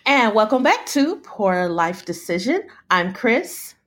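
A woman hosting a casual podcast, laughing often, with a slightly hoarse throat. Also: mid-range voice, sing-song, slow, a bit bright, soft background music. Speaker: podcast host. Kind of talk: casual chat.

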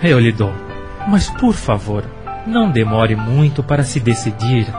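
A man's voice: mid-range voice, sing-song, measured pace, music in the background. A man acting in a radio soap opera as a devoted suitor, earnest and coaxing. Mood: patient, devoted. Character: Samuel, devoted, earnest suitor who runs a supermarket.

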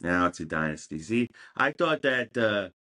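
A man's voice: lowish voice, very animated, moderate pace, sharp tone. A man co-hosting a podcast in casual sports banter, with punchy, slogan-like lines and repeated phrases. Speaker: podcast co-host.

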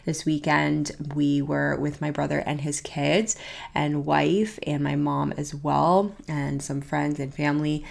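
A young woman hosts her own podcast, chatting casually and upbeat to her listeners. Her voice sits low and sounds nasal and congested from a cold. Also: little pitch movement, a little slow, creaky. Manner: chatty, upbeat, says "you guys" often. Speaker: podcast host. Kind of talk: casual solo podcast talk.

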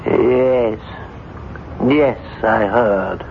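An actor playing a brusque, skeptical doctor in a 1970s radio drama, with clipped, theatrical questioning. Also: male voice, lowish voice, extremely slow. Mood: dismissive, irritated. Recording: muffled, noisy 1970s radio broadcast.

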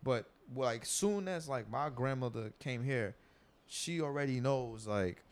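A man's voice: medium pitch, a little slow. A young man in casual group talk, explaining at length with many fillers and restarts. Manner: animated, lots of filler words and restarts.

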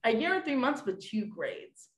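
A woman in her late twenties tells a personal story in relaxed chat, full of likes and restarts. She slips into other people's voices for quoted lines. The room around her is echoey. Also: a little high, normal speed. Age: 20s. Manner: animated, acts out quoted dialogue, many fillers. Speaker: podcast guest.

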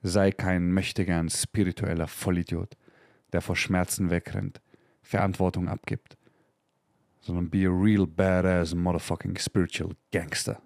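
Low-voiced man giving a fiery motivational talk. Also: flat delivery, measured pace, creaky, a little mellow, dry recording, studio quality. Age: 30s. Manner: emphatic and profane, mixing in English slang. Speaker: podcast host. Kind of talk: motivational podcast monologue.

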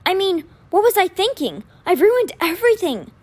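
A woman's voice: high-pitched, very animated, moderate pace, pitched above the performer's own voice. A woman voicing an earnest, anxious teenage boy in an audiobook, stammering, pleading and full of guilt. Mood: distressed, rambling.

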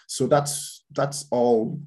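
A man's voice: mid-range voice, fairly even intonation, drawn-out words, a little mellow. A man, a guest entrepreneur born in Spain and raised in Portugal, talking in a casual interview with many restarts and repeated words.

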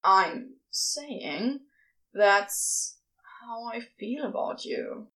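A woman voicing a gruff, lovestruck male celestial being, confessing in halting, casual, clipped speech for audio fiction. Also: mid-range voice, sing-song, a bit bright, exaggerated voice, rough recording. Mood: halting, earnest.

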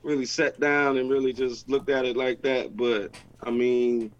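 Excited football coach. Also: male voice, medium pitch, measured pace. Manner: excited, repetitive, informal phrasing. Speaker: head coach and team owner. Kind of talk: casual chat. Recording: dull lo-fi sound.

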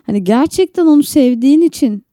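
A woman's voice: sits high, expressive, fairly quick, warm tone, dry recording, studio quality. A woman, co-hosting a podcast in casual, opinionated chat. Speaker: podcast co-host.

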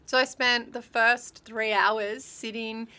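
An Australian woman co-hosts a casual podcast chat. Her voice is fairly high and very bright, and she talks in an animated, joking way. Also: slow. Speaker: podcast co-host.

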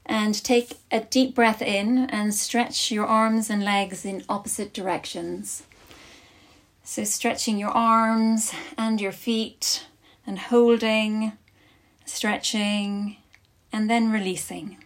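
Woman, yoga nidra instructor, guided relaxation, very slow pace, mid-range pitch, soothing, short phrases, long pauses. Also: steady tone.

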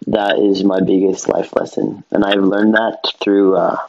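Young dude on a podcast, kinda low voice, chill and slangy, muffled lo-fi sound. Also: male voice, little pitch movement, a little slow, vocal fry. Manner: laid-back, slangy, says "dude" and "bro". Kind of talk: casual chat. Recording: dull lo-fi sound.

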